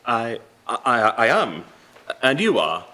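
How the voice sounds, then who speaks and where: A shy, well-mannered young apprentice, played by a man in a live radio drama. He speaks hesitantly at a middling pitch, with lively swings. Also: slow pace, heavily acted. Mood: bashful, flustered. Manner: polite, hesitant. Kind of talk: live radio play acting.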